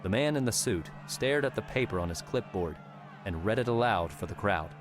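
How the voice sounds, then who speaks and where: Deep-voiced male audiobook narrator. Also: animated, noisy background. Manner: measured, dramatic storytelling. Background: background music.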